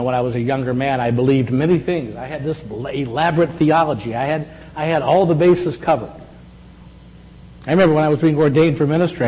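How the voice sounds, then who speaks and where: A man's voice: medium pitch, mellow. Reflective man in his sixties preaching. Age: 60s. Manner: reflective, conversational, with slow emphatic pauses. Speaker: pastor. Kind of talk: sermon. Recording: echoey hall with faint hum.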